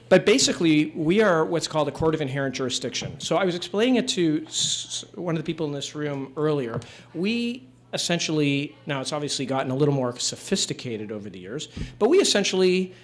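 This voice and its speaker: A man in his fifties from Canada, a judge telling stories to a room of people in a relaxed, wry, conversational way with fillers. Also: on the high side. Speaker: judge giving a talk. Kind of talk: relaxed storytelling talk to a live audience. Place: room with an audience.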